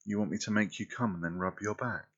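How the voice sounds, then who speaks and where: A man performing an intimate audio roleplay, speaking softly and teasingly as if close to the listener's ear. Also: on the low side, quick pace, lo-fi sound.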